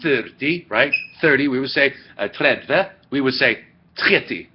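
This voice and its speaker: A man's voice: mid-range voice, animated, measured pace, slightly rough. A man lecturing a class, rambling and correcting himself as he goes, recorded by a webcam. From Sweden. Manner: rambling, digressive, self-correcting. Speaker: teacher. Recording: dull lo-fi webcam audio.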